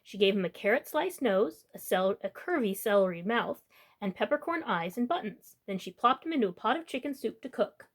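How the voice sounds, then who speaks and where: Animated woman reading a children's story. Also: medium pitch, a little fast. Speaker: storytime host reading aloud. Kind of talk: children's picture-book narration.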